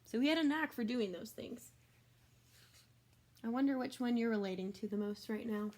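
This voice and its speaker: High-pitched woman reading aloud to children. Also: moderate pace. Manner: expressive, explanatory, addresses young listeners directly. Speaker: schoolteacher reading a picture book aloud. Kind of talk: read-aloud for children with chatty asides.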